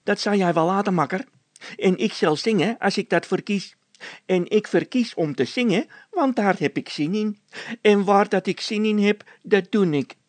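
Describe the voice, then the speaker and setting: A man voicing a stubborn, mockingly calm road labourer who needles his workmate in a storybook tale. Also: sits high, moderate pace, dry recording, voice pushed up, close to an everyday voice. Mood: taunting calm.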